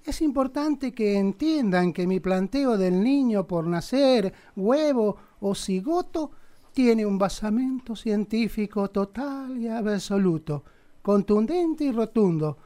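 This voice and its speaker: A man's voice: sits high, animated, takes it slowly, warm tone. An Argentine man playing a sanctimonious, pompous conservative politician in a satirical radio play, with an unctuous, preachy manner. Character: sanctimonious male conservative politician, pious and crudely provocative.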